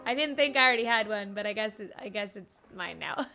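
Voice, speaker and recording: A woman giving casual live gameplay commentary as a streamer, excitable, with teasing asides. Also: mid-range voice, fast talker, vocal fry, faint music behind. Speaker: game streamer.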